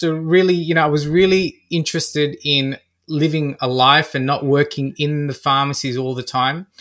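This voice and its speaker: A man's voice: fairly high pitch, bright, forceful tone. An Australian-accented man around forty, a business coach answering interview questions conversationally. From Australia (Melbourne), born in Fiji. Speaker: podcast guest, business coach.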